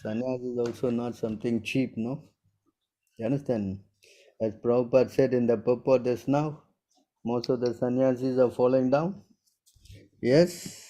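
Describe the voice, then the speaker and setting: A man in his sixties with a mellow, soft-edged voice at an everyday middle pitch. He gives informal spiritual guidance over an online call and keeps pausing to ask whether his listeners follow. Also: fairly even intonation. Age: 60s. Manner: keeps checking if listeners understand. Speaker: spiritual teacher answering questions. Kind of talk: informal devotional Q&A.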